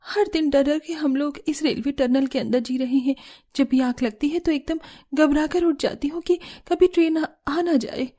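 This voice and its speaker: A woman voicing a worried young daughter-in-law in a cartoon drama, earnest and plaintive, with a soft voice. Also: high voice, steady tone, moderate pace, close to an everyday voice.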